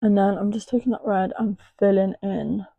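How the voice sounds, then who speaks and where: A young woman chatting casually through a makeup tutorial, her voice a little hoarse as if it is going. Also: fairly even intonation, normal speed, dry recording, phone-quality sound. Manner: chatty, rambling, soft and low at times. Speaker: makeup artist.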